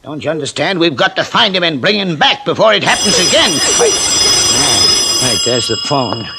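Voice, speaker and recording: A man's voice: fairly high pitch, sing-song, moderate pace. An actor playing a tense man in an old-time radio thriller, with dramatic, emphatic delivery. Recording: noisy vintage radio recording.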